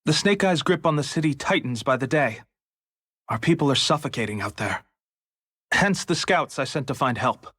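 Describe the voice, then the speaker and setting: A wry, guarded rebel leader. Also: male voice, medium pitch, animated, fairly bright tone, breathy edge, no room sound. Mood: earnest. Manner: dry, measured, guarded. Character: wary male rebel leader, middle-aged. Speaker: resistance leader. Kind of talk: video game voice acting.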